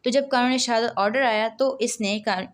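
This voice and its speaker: A woman with a Pakistani accent speaks in a fairly high voice as a lecturer. She explains in a didactic way, posing questions and answering them herself, and goes back over key points. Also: steady tone, normal speed. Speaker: law lecturer. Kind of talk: explanatory lecture mixing Urdu and English.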